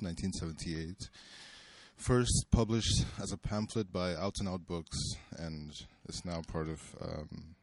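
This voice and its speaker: Deep-voiced man from Cameroon, halting. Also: a little mellow, no room sound. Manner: halting, with many ums. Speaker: panel speaker and reader. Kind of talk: casual introduction and reading a text aloud.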